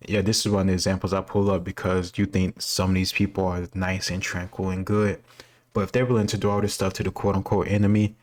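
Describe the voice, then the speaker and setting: A man giving casual, off-the-cuff commentary as a video host, thinking aloud and offering his opinions. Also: low-pitched.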